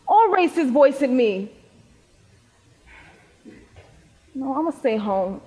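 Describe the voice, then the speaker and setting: Stage drama teen, young female actor: troubled, earnest, high-pitched, recorded in a reverberant hall. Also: darkish tone, husky, exaggerated voice. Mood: subdued, weary. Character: troubled, sincere teenage girl, guarded and weary. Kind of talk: stage play acting.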